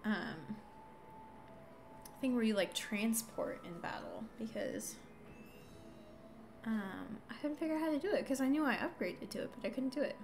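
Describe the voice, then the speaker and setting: A woman streamer chats casually through her gameplay, self-deprecating and a little exasperated. Her pitch moves around a lot, and game music plays clearly behind her. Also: animated, normal speed, long pauses. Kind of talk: casual live gameplay commentary. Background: video game music.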